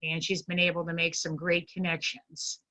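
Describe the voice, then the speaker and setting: A middle-aged woman with a bright, forward voice speaks as a guest presenter. She is polite and formal, addressing an online business group with deliberate emphasis. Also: fairly low pitch, flat delivery. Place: online video meeting.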